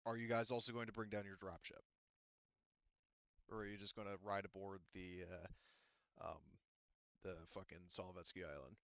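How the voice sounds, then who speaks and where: A man's voice: deep voice, steady tone, normal speed, long pauses, fairly bright tone, smooth, dry recording, dull audio. A man acting as game master, explaining the setting in a rambling, casual way with many fillers. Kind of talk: casual tabletop game narration.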